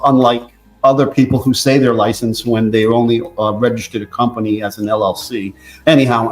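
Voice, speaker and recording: A man giving informal expert commentary as a private investigator, explaining points with emphasis. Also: medium pitch. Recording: echoey, roomy microphone sound.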